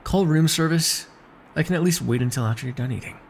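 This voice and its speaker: A man's voice: fairly high pitch, brisk, creaky, slight background noise. A man playing a bossy but caring vampire in an ASMR roleplay, teasing and wry, speaking naturally with small hesitations. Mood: wry, casual. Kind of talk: ASMR roleplay, one-sided dramatic dialogue.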